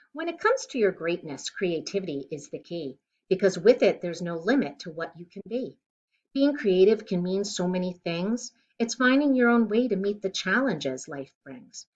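A middle-aged woman reading a children's picture book aloud in an expressive, deliberate way, recorded indoors at home. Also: medium pitch, lo-fi sound. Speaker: children's storybook reader. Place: living room at home, indoors.

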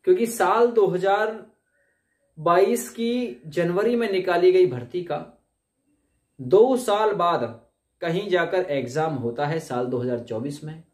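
A man's voice: sits high, a little slow. A man, explaining to camera in an emphatic, conversational way, like an informal coaching teacher. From India. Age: 20s. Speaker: exam coaching teacher and presenter. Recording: echoey room sound.

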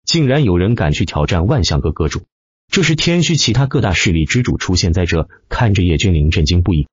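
A man reads dramatic fiction with theatrical emphasis. His low voice has a husky grain and swings widely in pitch as he drives each sentence. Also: very animated, fairly quick, no room sound, lo-fi sound. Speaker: audiobook narrator. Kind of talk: dramatic scripted web-novel narration.

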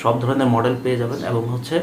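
A man's voice: medium pitch, little pitch movement, very fast. A man with a Bangladeshi accent talking casually to viewers as a motorbike vlogger, explaining things in a hesitant way. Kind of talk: casual vlog talk to viewers. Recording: roomy echo, clearly noisy background.

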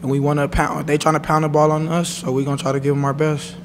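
A young man, a college football player, answering reporters after a game in a relaxed, plain way with many fillers. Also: on the high side, fast talker, long reverb tail, noisy background. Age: young adult. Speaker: college football defensive back. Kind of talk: postgame press conference answers. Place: press conference room, indoors. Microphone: table microphone.

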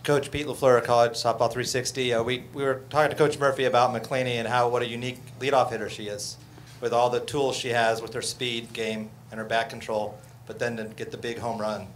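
A man's voice: medium pitch, level tone, fairly quick, few pauses. A man with an American accent asking wordy, rephrased questions as a sports reporter at a press conference. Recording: clear room noise and ambience.

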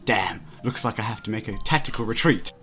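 A man's voice: lowish voice, expressive, brisk, pushed and bright, soft background music. A man hosting a let's play, reading a visual novel aloud with playful banter and often stumbling over the text.

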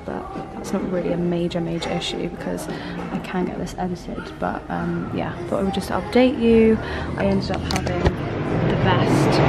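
A young woman in her twenties chatting upbeat to a handheld camera, full of fillers, a little bunged up with a cold. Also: fairly low pitch. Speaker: vlogger. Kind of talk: casual vlog chat to camera. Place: cafés and a city street.

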